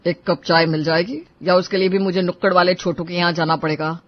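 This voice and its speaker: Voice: mid-range voice, level tone, brisk, no echo, natural voice. A performer plays a sulky, hot-tempered young husband in a storybook drama, grumbling and snapping at his family. The sound is dry and close, and the recording is muffled. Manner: sulky, irritable.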